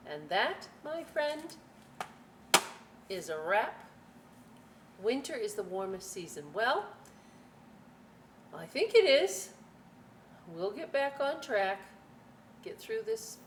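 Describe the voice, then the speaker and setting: A woman hosting a children's library story time and craft demonstration, talking in a folksy, playful way with chatty asides to young viewers. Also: mid-range voice. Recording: echoey room, some background noise.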